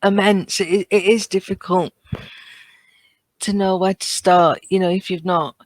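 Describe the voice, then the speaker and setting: A woman's voice: medium pitch, steady tone, measured pace, dry recording. Hesitant woman on a podcast, dry close sound. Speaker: podcast panellist. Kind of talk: casual podcast discussion.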